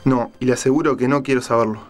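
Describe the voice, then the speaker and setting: A man's voice: mid-range voice, steady tone, fast talker, natural voice. A man playing a scared captive journalist in an audio drama, hesitant and careful, trying to placate. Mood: frightened. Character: frightened captive male journalist, placating and pleading.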